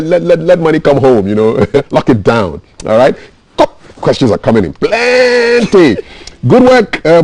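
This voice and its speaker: Animated Ghanaian man hosting, mellow studio sound. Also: fairly high pitch, dry recording. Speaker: TV show host. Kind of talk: lively interview chat, reading out viewer messages.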